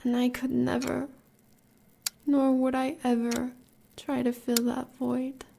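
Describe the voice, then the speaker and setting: With clear noise behind her, a woman acts out a devoted, fierce American huntress in an audio roleplay. Her voice is breathy and creaky, edging toward a whisper. She consoles her lover in slow, solemn, poetic phrases. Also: high-pitched, completely flat delivery, mellow, exaggerated voice. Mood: fierce devotion. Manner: solemn, measured, poetic delivery.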